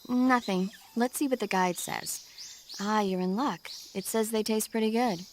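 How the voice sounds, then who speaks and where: A woman's voice: medium pitch, measured pace, a little hiss or hum, natural voice. An actress playing a knowledgeable, helpful female radio support officer in a video game, explaining things in a careful, informative way. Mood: taken aback.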